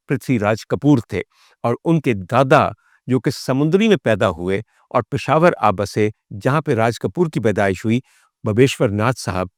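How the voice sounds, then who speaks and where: A man with a Pakistani accent delivers a storytelling monologue as a vlog host. His voice sits at a medium pitch, and his manner is theatrical and formal, addressing the audience rhetorically and dropping in English phrases. The sound is dry, with very little room in it. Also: darkish tone, dry recording. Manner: mixes English phrases into speech. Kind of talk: storytelling monologue on film history.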